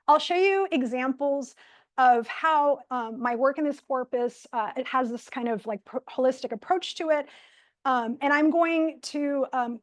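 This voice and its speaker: A woman giving an academic lecture in a room, with a soft voice she projects to be heard, enthusiastic and dotted with fillers. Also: high voice, no room sound. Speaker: guest lecturer. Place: lecture room, in person and streamed online.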